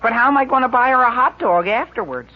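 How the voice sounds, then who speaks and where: A man's voice: very high voice, animated, fast. Eager teen boy begging politely, 40s radio sitcom, dull old recording. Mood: pleading. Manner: polite, persistent wheedling. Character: eager, pleading, earnest teenage boy. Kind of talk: 1940s radio comedy acting. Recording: dull 1940s radio broadcast.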